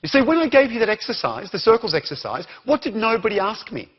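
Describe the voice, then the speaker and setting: Animated Australian man giving a talk. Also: high voice, quick pace, slightly rough, highs cut off. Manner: animated, questions the audience. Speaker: conference speaker. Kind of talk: interactive keynote talk.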